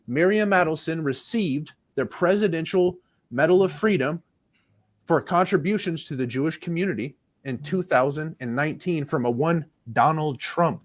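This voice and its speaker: A man arguing in a live debate as a panelist, blunt and insistent, with frequent swearing. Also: a little high.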